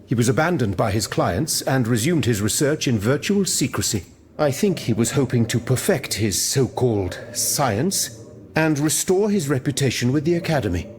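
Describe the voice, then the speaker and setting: Male actor as old-world French scientist, earnest, formal, reflective, mid-pitched, lively intonation, game cutscene. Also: normal speed, lightly acted. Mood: explanatory. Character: earnest, thoughtful gentleman scientist of old France. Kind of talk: video game cutscene dramatic acting.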